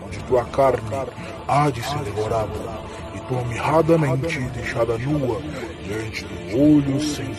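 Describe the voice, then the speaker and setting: A cold, scornful lord of the undead, acted by a man for a fantasy audio drama. He speaks slowly and with menace, in archaic phrasing, over prominent music. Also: mid-range voice, close to an everyday voice. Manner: slow, archaic, threatening speech. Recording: voice under loud music.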